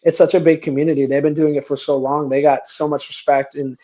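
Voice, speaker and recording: A man's voice: a little high, level tone, quick pace, warm tone. A man in his forties from Canada, talking casually as a band member guest in a radio interview, rambling with many restarts and easy laughs. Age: 40s.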